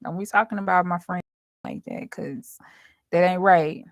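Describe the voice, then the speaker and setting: A woman with a fairly low voice hosts a casual chat for her viewers. She speaks informally and colloquially, like someone telling stories. The sound is dry, with very little room in it. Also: moderate pace, no echo. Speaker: host, dream interpreter.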